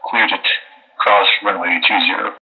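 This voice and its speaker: A man's voice: lowish voice, level tone, normal speed. A man working as an air traffic controller in a flight game, giving radio-style instructions over a narrow radio line. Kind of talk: radio phraseology.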